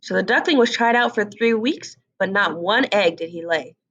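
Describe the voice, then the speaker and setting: A woman reading a fairy tale aloud as an expressive storybook narrator. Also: medium pitch, moderate pace, lo-fi sound.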